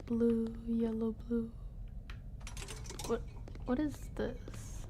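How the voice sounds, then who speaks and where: Young female game streamer. Relaxed, slow delivery, soft breathy dips, playful self-directed rambling, casual live chat. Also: a little high, a little hiss or hum. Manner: playful, rambling, murmuring to herself. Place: her room.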